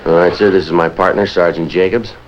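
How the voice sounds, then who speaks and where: A man's voice: low-pitched, steady tone, a little fast, a little hiss or hum, lightly acted. A man playing a terse, businesslike American police sergeant in a 1950s procedural, speaking and narrating in a clipped, matter-of-fact way. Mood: terse, insistent. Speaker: lead detective and narrator. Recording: dull 1950s film soundtrack, music underneath.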